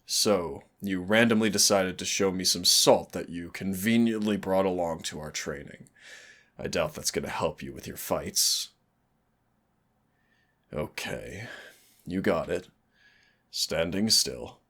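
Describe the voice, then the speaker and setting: A very low-voiced male demon, acted by a man in an audio roleplay. He drawls at a slow pace, sardonic and cocky, with a teasing, exasperated fondness for the human he trains. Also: fairly bright tone, full character voice. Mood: wary, incredulous. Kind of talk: scripted roleplay audio acting.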